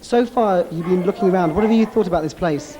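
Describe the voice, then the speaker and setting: A man presenting and interviewing, asking quick, encouraging questions. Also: high-pitched, a crowd in the background, poor audio. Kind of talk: broadcast interview. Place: indoors at a folk arts institute.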